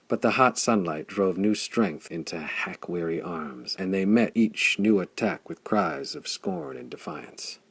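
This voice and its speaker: A man narrating a pulp adventure audiobook in a measured, theatrical storytelling style. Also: deep voice, gravelly, husky, no echo.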